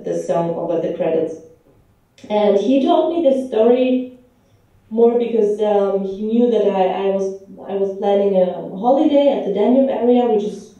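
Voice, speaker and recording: A woman who grew up in Romania speaks slowly and haltingly, filling her pauses with ums. Her voice is very dark and mellow. She sounds far from the microphone in an echoey pub room, and the sound is dull. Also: mid-range voice. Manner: hesitant, many ums and restarts. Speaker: film director. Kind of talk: interview answers.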